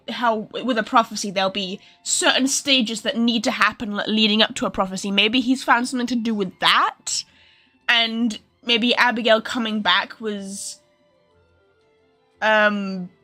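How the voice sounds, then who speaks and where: A woman's voice: fairly high pitch, bright, forceful tone. A woman streamer giving casual livestream commentary, rambling and speculating, often trailing off mid-thought.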